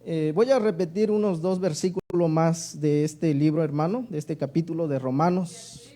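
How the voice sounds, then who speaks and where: A man with a southern Mexican accent preaching and reading scripture to a church in an earnest, deliberate manner. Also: on the high side, warm tone. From Oaxaca, Mexico. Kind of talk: sermon with Bible reading.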